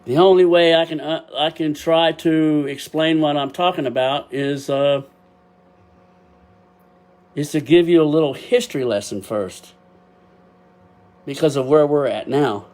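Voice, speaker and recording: An older man with a Texas drawl talks off the cuff into a cheap webcam microphone, rambling and emotional with frequent laughs. He sometimes gets loud without noticing. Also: fairly high pitch, a little slow, pauses often. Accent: Texan. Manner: loud at times, deaf and can't hear himself. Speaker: podcast host. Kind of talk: casual solo podcast talk. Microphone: built-in webcam microphone.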